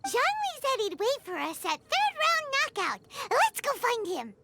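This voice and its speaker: A woman voicing a small, excitable, talkative fairy-like girl in a video game, with a squeaky, childlike voice. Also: unhurried, very noisy, full character voice. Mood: excited. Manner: refers to self in the third person, exclamatory. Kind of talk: English video game dub acting.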